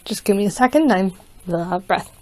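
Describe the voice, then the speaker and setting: A woman's voice: mid-range voice, lively intonation, dark tone, no room sound. A woman casually reading a rhyming fan fiction story aloud, now and then stumbling and restarting a word. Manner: casual, slightly halting with restarts. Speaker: fanfiction reader.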